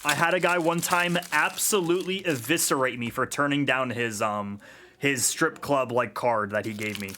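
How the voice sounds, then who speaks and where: A man's voice: medium pitch, normal speed. An American man hosting a live card break, joking and talking straight to his chat. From United States. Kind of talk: casual live-stream chat. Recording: noisy with card-pack crinkling.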